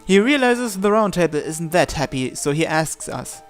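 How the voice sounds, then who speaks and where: Higher-voiced man narrating over music. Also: sing-song, normal speed. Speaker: let's player reading a visual novel aloud. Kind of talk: reading visual novel narration and dialogue aloud.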